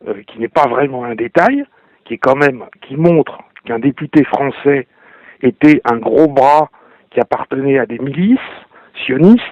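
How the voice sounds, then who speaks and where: A man giving measured political commentary, hesitating now and then as he explains. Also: medium pitch, a little mellow, dry recording, muffled recording.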